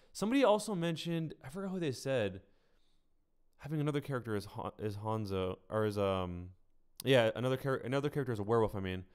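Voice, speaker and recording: Clear-voiced man streaming casual game commentary. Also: on the low side, a little mellow. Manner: conversational, addresses viewers directly. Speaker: streamer and gaming news host. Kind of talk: casual livestream gaming commentary.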